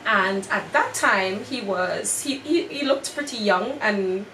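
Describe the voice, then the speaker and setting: A woman's voice: mid-range voice, lively intonation, takes it slowly, pushed and bright. A woman in her late twenties with a Guyanese accent, reflectively recounting childhood memories as an interview guest. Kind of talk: personal storytelling in interview. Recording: echoey distant call audio with background noise.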